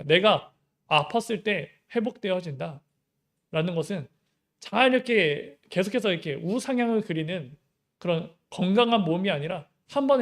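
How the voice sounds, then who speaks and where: High-voiced man preaching conversationally. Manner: conversational preaching with many rhetorical questions. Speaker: pastor. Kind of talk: sermon.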